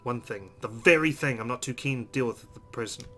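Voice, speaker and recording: A man's voice: mid-range voice, fairly quick, bright, forceful tone, soft background music. A man narrating a visual novel aloud as a let's player, reading it dramatically with brief asides.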